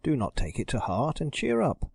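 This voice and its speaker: A man's voice: medium pitch, huge pitch swings, moderate pace, gravelly, husky, dry recording, studio quality, full character voice. A man playing a cheerful, kindly butler. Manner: cheerful, encouraging.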